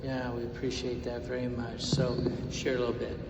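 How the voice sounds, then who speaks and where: A man hosting an event, introducing the next speaker in a halting way. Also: mid-range voice, completely flat delivery, vocal fry. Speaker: event host. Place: large echoey hall. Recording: echoey hall from far off, noisy.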